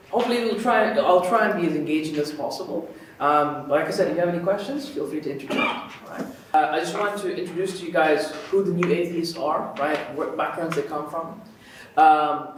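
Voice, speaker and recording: A man in his thirties from Kuwait lectures informally in a very echoey room, picked up at a distance from the mic. His voice sits a little high for a man, and he talks conversationally, often checking that listeners follow. There is faint noise in the recording. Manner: conversational, frequently checks in with "right?". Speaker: lecturer, university professor teaching a course.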